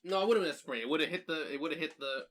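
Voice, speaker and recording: A man's voice: fairly high pitch, rapid-fire, fairly bright tone. A man co-hosting a casual podcast, joking and teasing his friend in loose banter. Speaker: podcast co-host. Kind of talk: casual chat.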